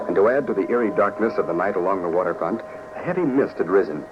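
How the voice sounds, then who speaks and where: A man's voice: deep voice, expressive, fast. A man with an American accent narrating a 1940s radio drama in a declamatory, theatrical way. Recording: noisy, muffled 1940s radio recording.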